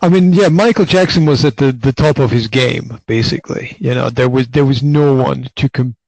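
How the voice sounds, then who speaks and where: A man's voice: medium pitch, moderate pace, creaky, darkish tone, dry recording, low-quality recording. A man in his forties hosting a casual interview, talking in bursts with many repeated words and restarts. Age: 40s. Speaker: podcast host.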